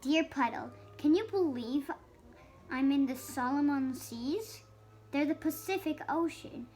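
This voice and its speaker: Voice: high voice, measured pace, a little mellow, soft background music. A child of about seven or eight reading a picture book aloud, stumbling now and then on harder words. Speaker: young reader.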